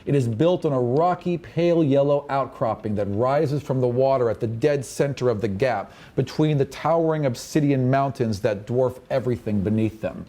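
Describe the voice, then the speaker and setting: Male game master, mid-pitched and mellow, narrating fantasy scenes descriptively with offhand asides. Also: normal speed, few pauses.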